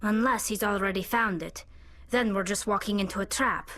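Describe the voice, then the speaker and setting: A woman playing a wary, practical soldier squadmate in a sci-fi game, plain-spoken and brisk. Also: medium pitch, steady tone, a bit bright, no room sound, close to an everyday voice.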